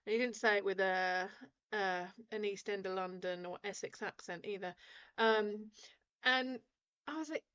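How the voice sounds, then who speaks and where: A woman radio host in her forties, chatting casually and ranting with animated, laughing energy. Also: mid-range voice, moderate pace, clear, resonant tone, dull audio. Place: radio studio.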